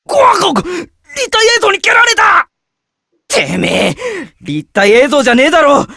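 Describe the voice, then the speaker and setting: A man's voice: very high voice, huge pitch swings, fast talker, creaky, pushed and bright, dry recording, clean studio sound, full character voice. A man voicing a gullible, melodramatic teenage schoolboy as the comic sidekick in a Japanese visual novel. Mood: frantic outrage. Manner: melodramatic, swinging from shrieks to mournful murmurs.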